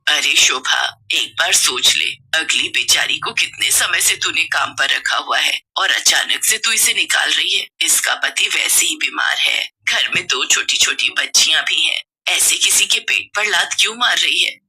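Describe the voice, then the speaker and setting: A woman's voice: sits low, lively intonation, moderate pace, few pauses, breathy edge, voice pushed down, full character voice. Woman doing a kind elderly mother-in-law. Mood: pleading. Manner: soft, motherly advice.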